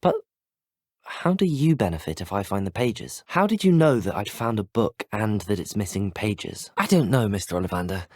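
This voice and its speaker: A man's voice: fairly low pitch, big pitch swings, moderate pace, a little mellow, dry recording, studio quality. A young man with a British accent voicing a polite, plucky, well-spoken teenage student wizard in a video game. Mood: earnest, polite. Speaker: video game player character voice. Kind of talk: scripted game voice lines.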